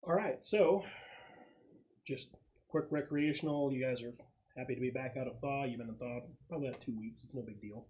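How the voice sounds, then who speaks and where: A man running a casual tabletop role-playing game as game master, explaining the setup with halting pauses. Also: mid-range voice, darkish tone, lo-fi sound.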